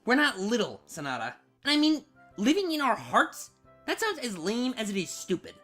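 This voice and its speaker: A woman as teasing young woman, highish voice, extremely expressive swings, casual, cartoon fan story. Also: moderate pace. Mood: deadpan. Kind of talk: dramatized fan fiction audio reading. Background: background music.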